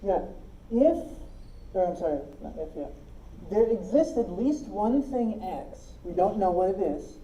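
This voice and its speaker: A woman's voice: medium pitch, very animated, measured pace, dark tone, echoey room, slight background noise, rough recording. A woman teaching a logic class, explaining step by step and often checking that her students follow. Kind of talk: classroom lecture.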